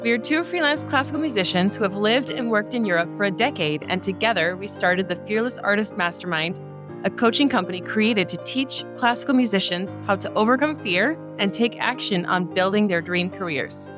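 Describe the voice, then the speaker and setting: A woman's voice: medium pitch, a little fast. Woman co-host reading over guitar music. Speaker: podcast co-host and classical musician. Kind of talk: scripted podcast intro.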